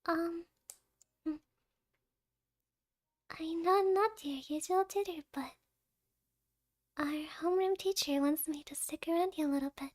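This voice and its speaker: A woman's voice: very high voice, no echo, studio quality. A woman playing a shy, sweet, nervous young student tutor in an ASMR roleplay, timid and hesitant, often dropping toward a whisper.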